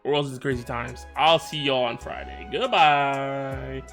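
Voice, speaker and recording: A man's voice: mid-range voice, lively intonation, unhurried, fairly bright tone, soft background music. A man streaming a game, chatting loosely in slang while his throat is sore and strained. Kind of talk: casual livestream chat.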